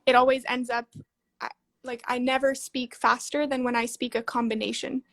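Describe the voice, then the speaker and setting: A woman's voice: high-pitched, flat delivery, moderate pace. A woman hosting a craft live stream, chatting easily and keeping the session moving with short friendly replies. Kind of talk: casual live-stream chat.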